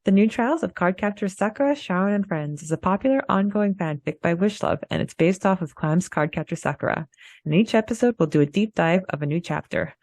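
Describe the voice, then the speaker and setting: Casual podcast co-host: woman, slightly low voice, very dry recording. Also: lively intonation, a little fast, a little mellow, dry recording. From Canada.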